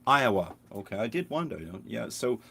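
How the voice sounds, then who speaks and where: A man from the United Kingdom talks as a guest on a video call, telling his stories in a relaxed, unhurried way. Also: on the low side, creaky, slightly rough, no room sound. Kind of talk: casual interview chat. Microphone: webcam on a video call.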